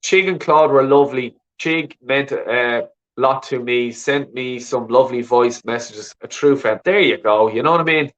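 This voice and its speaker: A man's voice: mid-range voice, normal speed, fairly bright tone. A man from Ireland with a Dublin accent, hosting a call-in show in a rambling, sweary casual chat full of "you know what I mean". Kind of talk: casual chat reading viewer comments. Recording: dull lo-fi sound.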